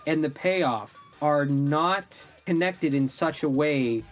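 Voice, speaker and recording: A man's voice: fairly high pitch, takes it slowly. A man in his thirties co-hosting a film review, giving emphatic opinions as commentary. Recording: music bed under voice.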